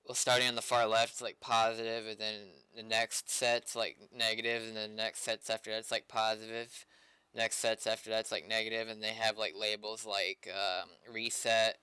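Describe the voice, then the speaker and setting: A man talking casually and off the cuff on a stream, halting and rambling, with some swearing. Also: on the low side, completely flat delivery, bright, forceful tone, clear, resonant tone, no echo, poor audio. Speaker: streamer doing game development. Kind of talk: casual unscripted stream chat.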